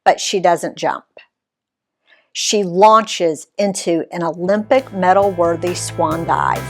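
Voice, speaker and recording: A woman reading her own travel story aloud in an expressive read-aloud style, giving the quoted people voices of their own. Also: lowish voice, soft background music. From Alabama, USA. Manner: unhurried, lively reading that voices the quoted characters.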